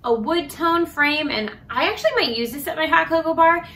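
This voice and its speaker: A woman's voice: high voice, fairly quick, a bit bright. A woman in her thirties at home, chatting upbeat about the things she bought. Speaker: vlog host. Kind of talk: casual haul presentation. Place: living room at home. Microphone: camera at a distance. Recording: room sound from a distance, faint hiss.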